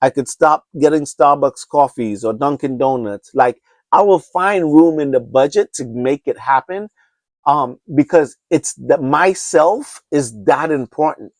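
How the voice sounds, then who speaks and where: Trini guy in his 50s, kinda high voice, rambles and restarts a lot, dead dry sound. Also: a little slow, no echo. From Trinidad and Tobago. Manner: earnest, rambling, many restarts, preacher-like urging. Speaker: podcast host. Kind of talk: unscripted solo podcast talk.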